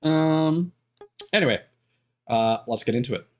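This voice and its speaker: Male streamer, unhurried, filler-heavy casual commentary at a desk mic. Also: fairly high pitch. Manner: rambling, many fillers.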